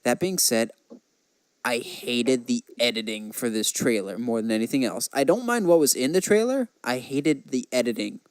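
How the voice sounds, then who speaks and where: A man's voice: mid-range voice, animated, moderate pace, smooth, no room sound. A man co-hosting a podcast, chatting casually about films like an opinionated, enthusiastic fan. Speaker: podcast co-host. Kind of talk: casual film chat.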